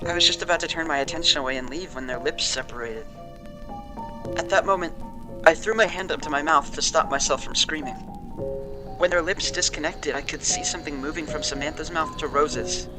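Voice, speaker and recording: An actor playing a shaken, wary man who recounts a frightening experience in an audio drama, telling it with dramatic pauses. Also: a little high, over music, close to an everyday voice. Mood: uneasy, haunted. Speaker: interviewee. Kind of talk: audio drama monologue, interview testimony. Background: musical score.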